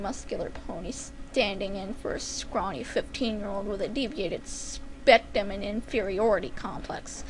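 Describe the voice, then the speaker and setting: A woman's voice: mid-range voice, rough recording. A woman giving an amateur dramatic narration of a comic fan-written story. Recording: faint background noise.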